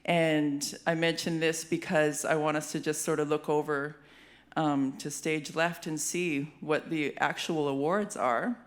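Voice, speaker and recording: A woman's voice: low voice, steady tone, unhurried. A woman with a Canadian accent hosting an awards show with playful, giggly, informal stage banter. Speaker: master of ceremonies at an awards show; hip hop artist, rapper.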